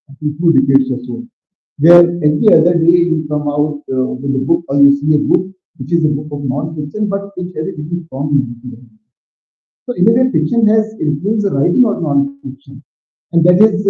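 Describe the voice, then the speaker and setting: A man with an Indian English accent giving an academic lecture at a seminar, measured and full of hesitations. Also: on the high side. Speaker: seminar speaker. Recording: echoey hall over a phone-like line.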